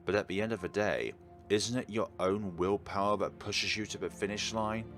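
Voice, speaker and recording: A man hosting a game playthrough, reading the text aloud and commenting in an earnest, deliberate, theatrical way. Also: on the low side, fairly bright tone, faint music behind. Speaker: video game playthrough host. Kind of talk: dramatic read-aloud of game text with his own commentary.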